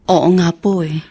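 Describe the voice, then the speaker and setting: A woman playing a polite, gentle Filipino housewife and daughter in a radio drama, respectful and expressive. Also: on the low side, exaggerated voice. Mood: shocked.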